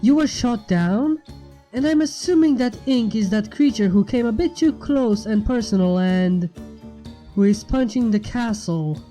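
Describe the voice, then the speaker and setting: A man's voice: high-pitched, expressive, unhurried, warm tone. A man voicing a regal female pony princess in a formal, commanding manner. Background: background music.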